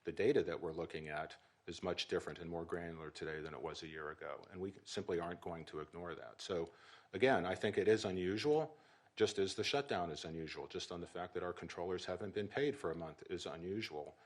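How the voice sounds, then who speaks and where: A middle-aged man speaking as an aviation official at a press briefing, measured and formal with frequent restarts. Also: sits low.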